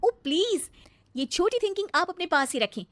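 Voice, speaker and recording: A woman's voice: extremely high pitch, very animated, moderate pace, a bit bright, dry recording, pitched above the performer's own voice, exaggerated voice. A woman voicing a spoiled, haughty young married model in a cartoon story, snappy and whining, dropping in English phrases. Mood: angry, scornful. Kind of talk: cartoon moral-story dialogue.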